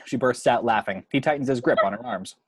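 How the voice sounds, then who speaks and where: A man's voice: mid-range voice, fast talker, husky, dry recording, studio quality. A man reading out the action description of a screenplay as a scripted narrator.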